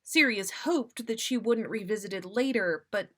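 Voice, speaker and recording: A woman's voice: medium pitch, moderate pace, a bit bright. A woman reading a story aloud expressively as the host of a story session. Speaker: podcast host reading listener fan fiction.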